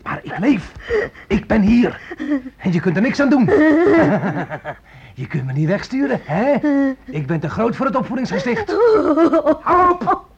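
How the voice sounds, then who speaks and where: A man's voice: extremely high pitch, huge pitch swings, unhurried, gravelly, pauses often, slightly rough, heavily acted. An actor playing a volatile young fugitive who bullies his mother in a radio play, menacing and childishly needy. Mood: angry, accusing. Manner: menacing, swings into childish pleading. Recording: muffled, noisy old radio play recording.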